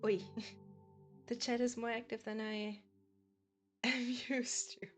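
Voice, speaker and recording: A woman's voice: fairly high pitch, level tone, slow, soft background music. A woman from Germany with a German accent, chatting casually with viewers as a game streamer and laughing often. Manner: giggly, chatty, often laughs between sentences.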